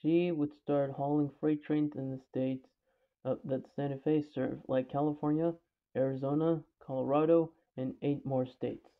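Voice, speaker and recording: A man's voice: fairly high pitch, flat delivery, normal speed. A man delivers scripted, factual narration in the manner of a homemade documentary. The sound is heavily band-limited and phone-like, with little fullness. He reads from a script and now and then trips slightly over it. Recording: tinny, phone-like narrow band.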